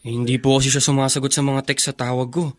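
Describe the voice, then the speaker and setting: A man's voice: medium pitch, little pitch movement, fast, natural voice. A man playing a respectful, worried young son in a Filipino radio drama. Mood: concerned.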